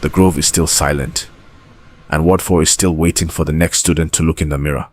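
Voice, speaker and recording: Very deep-voiced man narrating horror. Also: fairly even intonation. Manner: grave, measured, deliberate storytelling. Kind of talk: scripted horror documentary narration.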